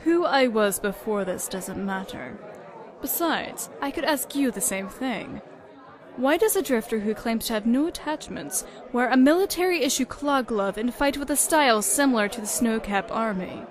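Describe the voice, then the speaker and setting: A woman's voice: a little high, lively intonation, moderate pace, crowd noise, lightly acted. Shrewd mercenary woman, an actress's part in a crowded room. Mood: probing, knowing. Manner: pointed, knowing. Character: shrewd, blunt female mercenary nicknamed Granny. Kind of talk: fantasy audio drama dialogue.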